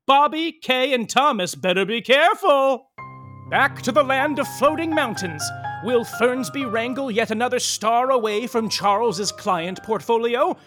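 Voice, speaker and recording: An actor playing a gossipy, melodramatic soap opera narrator, mock-grand and arch in comic scripted narration. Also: male voice, very high voice, expressive, unhurried, a bit bright, faint music behind, full character voice.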